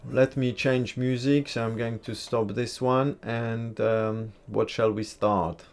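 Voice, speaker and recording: A man's voice: medium pitch, slow. Easygoing man chatting on a livestream. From Poland. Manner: chatty, relaxed, reads and answers comments; blunt opinions. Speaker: livestream host. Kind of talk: casual live-stream chat with viewers.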